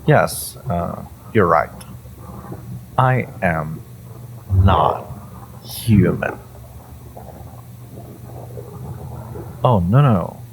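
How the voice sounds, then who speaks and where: A man playing a sinister, inhuman mind-reading interrogator in an audio roleplay, theatrical, mocking and menacing, with a gravelly voice and drawn-out phrasing. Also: low voice, lively intonation, a little hiss or hum, full character voice, poor audio. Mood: musing, sinister. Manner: theatrical, taunting, drawn-out phrasing with pauses and whispers. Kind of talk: dramatic audio roleplay monologue to the listener.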